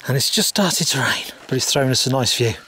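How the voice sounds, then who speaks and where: A man's voice: medium pitch, expressive, fairly quick, pushed and bright. A middle-aged man talking casually to a handheld camera outdoors on a mountain trail, upbeat and chatty. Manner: chatty, upbeat, thinking aloud. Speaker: travel vlogger. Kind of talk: casual hiking vlog narration. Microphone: action camera at arm's length.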